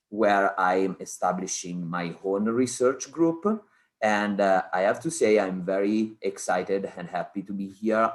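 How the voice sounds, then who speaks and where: A man from Italy with an Italian accent, a research scientist giving an informal presentation in halting, self-deprecating English. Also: low voice. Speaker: research scientist, guest speaker.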